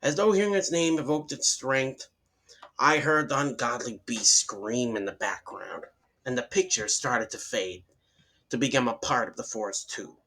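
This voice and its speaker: A man's voice: mid-range voice, expressive, normal speed, pushed and bright, low-quality recording. A man reading a horror story aloud in dramatic first-person narration, sometimes stumbling over words and restarting.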